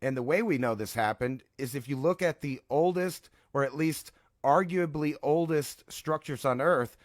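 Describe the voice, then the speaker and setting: A man's voice: fairly high pitch, moderate pace, no room sound, clean studio sound. A man co-hosting a talk show in a casual, rambling conversational style. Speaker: co-host.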